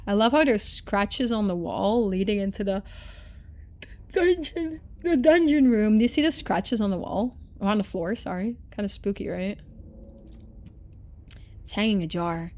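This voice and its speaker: A woman's voice: mid-range voice, unhurried, long pauses, darkish tone. A woman reading game narration expressively and adding casual commentary as a streamer.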